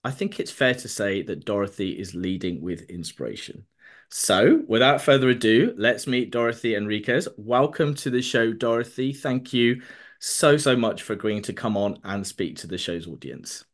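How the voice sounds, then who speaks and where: A man's voice: fairly low pitch, expressive, normal speed. A man hosting an interview podcast, reading a polished introduction and then asking questions with many restarts and fillers. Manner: hesitant, many restarts and fillers when unscripted. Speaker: podcast host.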